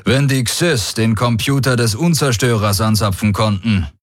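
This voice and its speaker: A bold alien warrior lord played by a male narrator, pitched well above his plain voice in a dry studio sound. He is commanding and self-assured, with cool mockery, in science-fiction audiobook style. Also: normal speed, no room sound, close to an everyday voice. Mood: confident. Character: proud, bold alien warrior warlord, coolly mocking. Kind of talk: audiobook character dialogue.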